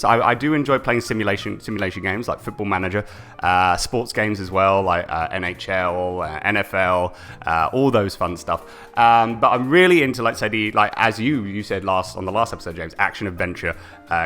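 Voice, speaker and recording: Bright-voiced young British man, chatty. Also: fairly low pitch. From United Kingdom. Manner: chatty, laughs often, repeats words. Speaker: co-host. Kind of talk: casual live-stream chat.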